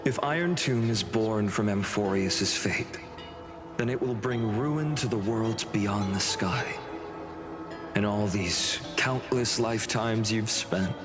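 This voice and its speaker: An actor playing a gentle, weary male companion in a video game, speaking solemnly in a slow, measured, soft way. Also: fairly low pitch. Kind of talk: dramatic video game voice acting. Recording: studio voice over loud music.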